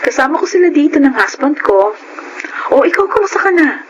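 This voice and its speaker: A sociable middle-aged woman in a radio drama. She talks brightly and eagerly, her pitch high and lively. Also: a little fast, muffled recording, slight background noise. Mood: chatty. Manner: bright, chatty and friendly. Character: cheerful, generous woman back from abroad.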